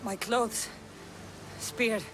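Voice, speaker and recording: A woman's voice: a little high, steady tone, very slow, over music. An actress playing a fierce, stubborn young Greek mercenary woman in a video game cutscene, speaking tersely and intensely. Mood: driven, tense.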